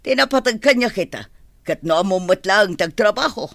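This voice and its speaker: Bossy madam, close dry sound. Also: female voice, medium pitch, lively intonation, moderate pace, a bit bright, dry recording. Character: bossy, exacting female employer, a mother. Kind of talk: Ilocano comedy radio drama acting.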